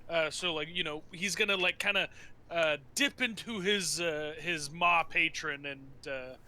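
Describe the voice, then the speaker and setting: A man playing a tabletop game, chatting casually and haltingly as he thinks aloud and rambles. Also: fairly high pitch, bright, forceful tone.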